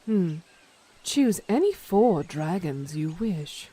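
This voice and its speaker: A woman's voice: on the low side, sing-song, slow, mellow, heavily acted. A woman voicing a haughty, scheming adult female dragon queen in a fan dub.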